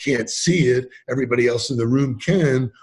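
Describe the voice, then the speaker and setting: A man talking as a podcast guest in a casual interview, animated and emphatic, acting out quotes as he tells stories. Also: medium pitch, moderate pace. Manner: animated, emphatic storyteller who acts out quotes. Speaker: podcast guest, sales trainer.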